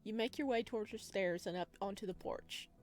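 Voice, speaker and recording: A woman's voice: mid-range voice, brisk, darkish tone. A woman hosting a gaming video, reading visual novel narration aloud and adding casual asides. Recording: music playing behind the voice.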